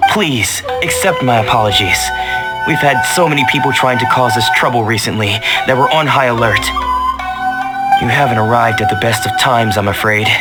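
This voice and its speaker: A man's voice: medium pitch, moderate pace, loud music behind the voice, lightly acted, poor audio. Man playing earnest young sword disciple. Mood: apologetic. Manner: formal, earnest. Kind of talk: video game voice acting.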